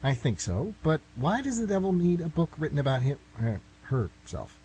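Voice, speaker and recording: A man's voice: fairly high pitch, very animated, moderate pace, mellow. Nervous guy writer played by an actor, exasperated and protesting, voice jumps around a lot, bit of hum, radio comedy. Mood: baffled. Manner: hesitant, protesting. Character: nervous, reluctant male writer, wry and exasperated. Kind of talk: comic radio play acting. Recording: amateur recording, noisy with hum.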